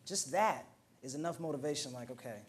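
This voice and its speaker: A man's voice: medium pitch, brisk, a little hiss or hum. A man in his twenties with an American accent, telling personal stories casually at a roundtable discussion. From Oakland, California, USA. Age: 20s. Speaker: roundtable participant. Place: indoor roundtable discussion at a museum.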